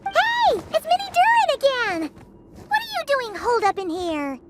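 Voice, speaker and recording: Very high squeaky hyperactive fairy. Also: female voice, wildly swooping pitch, normal speed, exaggerated voice. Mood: curious. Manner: squeaky, exclamatory, blunt. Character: tiny hyperactive childlike fairy companion, brash and cheeky. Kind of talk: video game character acting. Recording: reverberant, with loud background noise.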